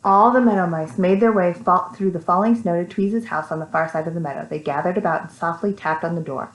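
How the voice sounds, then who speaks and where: A woman with an American accent reading a story to young children, animated, with simple casual asides. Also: on the low side, a little fast. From Texas, United States. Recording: echoey room.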